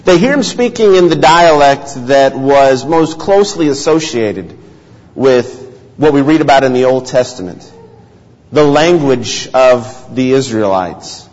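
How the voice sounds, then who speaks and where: A man preaching a sermon as pastor, telling stories in a measured, earnest way. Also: medium pitch, animated, a little mellow. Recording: dull sound, long hall reverb.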